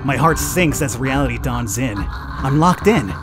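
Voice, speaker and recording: A man with a medium-pitched voice hosts a horror game let's play. He reads the story with drama and talks informally, with faint music behind him. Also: expressive, unhurried. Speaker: gaming YouTuber, let's play host. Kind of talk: reading game narration aloud with casual commentary.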